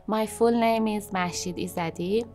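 Slow, hesitant woman in her thirties. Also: medium pitch, fairly even intonation, music in the background. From Iran. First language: Persian. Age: 30s. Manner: hesitant, many fillers. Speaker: job seeker introducing herself. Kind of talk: unscripted self-introduction to camera. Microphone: seated, medium shot.

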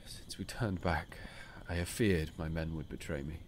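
Man voicing a deep, brooding king. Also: moderate pace, a little hiss or hum. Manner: formal, grave, archaic phrasing. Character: brooding, commanding king, weary and regretful. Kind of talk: audiobook character dialogue.

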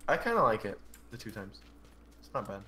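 A man's voice: fairly low pitch, very animated, fairly quick, sharp tone, a little hiss or hum. A man playing as a teammate over voice chat, teasing his friend playfully. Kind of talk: casual gaming chat.

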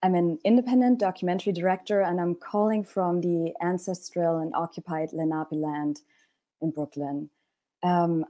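A woman's voice: on the low side, warm tone. A woman with a German accent moderating a panel over a video call, welcoming and with frequent ums, speaking into an earphone mic from a room at home.

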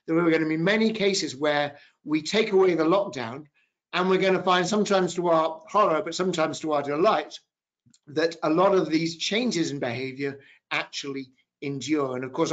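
A man's voice: on the high side, moderate pace, a bit bright. A man in his fifties moderating a business panel discussion over a video call. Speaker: webinar moderator, business professor. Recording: dull video-call audio.

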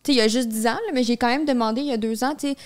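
A woman in her thirties with a Quebec French accent, a podcast guest talking candidly and laughing easily, recorded on a boom-arm studio mic in a small studio. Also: steady tone, racing. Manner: candid, laughs easily while telling stories. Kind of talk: casual chat. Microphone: studio microphone on a boom arm.

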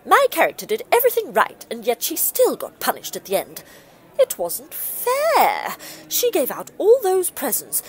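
A woman's voice: fairly high pitch, fairly bright tone. An actress playing a selfish, indignant mother in a comic audio drama, imperious, scornful and emphatic. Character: haughty, selfish, bossy, indignant well-to-do mother.

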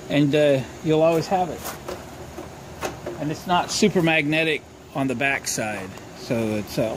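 A man hosting a casual DIY tool demonstration in an informal, folksy way, thinking aloud as he talks. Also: fairly high pitch. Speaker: DIY workshop host. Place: driveway and open garage workshop. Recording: clear background noise, outdoor ambience.

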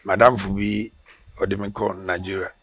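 A middle-aged Ghanaian man with a Ghanaian accent, a former footballer telling his story in a relaxed way, with restarts, in a casual outdoor interview on a headset mic. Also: low voice, normal speed, creaky, no echo. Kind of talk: casual interview in Twi mixed with English. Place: outdoor porch by brick wall.

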